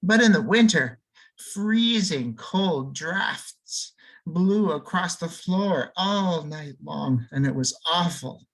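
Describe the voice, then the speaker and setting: A man reading a children's storybook aloud, slowing down and lingering on key words, with free swings in pitch and loudness for emphasis. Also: poor audio. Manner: expressive, lingers and slows on key words for effect. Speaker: audiobook reader.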